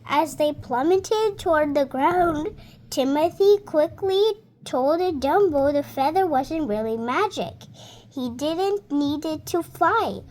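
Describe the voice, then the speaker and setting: A girl of about seven reading a storybook aloud in a careful, halting, word-by-word way. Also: high-pitched, lively intonation, a little mellow. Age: child, about seven. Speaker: young reader.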